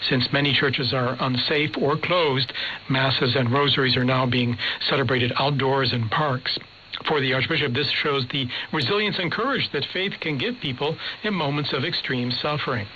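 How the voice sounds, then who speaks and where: Bright-voiced male reporter on muffled shortwave. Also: medium pitch, normal speed. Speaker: news reporter. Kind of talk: scripted news report. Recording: dull shortwave radio with faint static.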